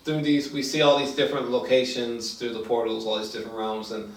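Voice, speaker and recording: A man chatting casually about a movie in an excitable, halting, profanity-laced way, repeating himself as he goes. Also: mid-range voice. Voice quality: slangy, profane speech. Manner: excitable, halting, repetitive storytelling. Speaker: movie reviewer. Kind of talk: casual unscripted movie chat. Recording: roomy echo, distant mic.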